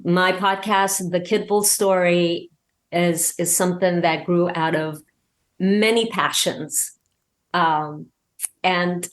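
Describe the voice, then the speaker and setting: A woman with a slightly low voice chats as a guest on a podcast. She sounds keen and excited, talking in a halting, conversational way and doubling back on her words. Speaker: podcast guest, audio drama creator. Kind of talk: casual interview chat.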